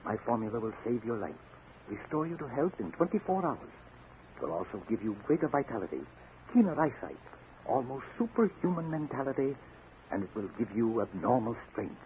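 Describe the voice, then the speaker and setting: An actor playing a friendly, eccentric older doctor-chemist in a 1940s radio drama. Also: male voice, medium pitch, sing-song, fairly quick, natural voice. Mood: urgent, confiding. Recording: tinny, noisy 1940 radio recording.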